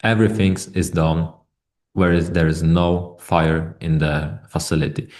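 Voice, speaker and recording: Very deep-voiced man, halting presenter. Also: steady tone, darkish tone. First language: not English. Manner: halting, with many hesitations and repeated words. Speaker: company webinar presenter. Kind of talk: technical product presentation.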